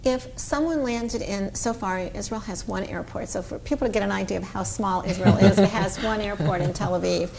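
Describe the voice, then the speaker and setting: A woman's voice: medium pitch, a little fast, creaky, hardly any pauses, darkish tone, slight background noise. A middle-aged woman hosting a talk show interview, chatty and teasing. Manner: chatty, teasing, laughs often.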